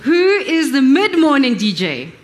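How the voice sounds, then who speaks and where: A woman informally hosting an audience quiz at a live event, excitable, giggly and full of exclamations. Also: high voice, measured pace.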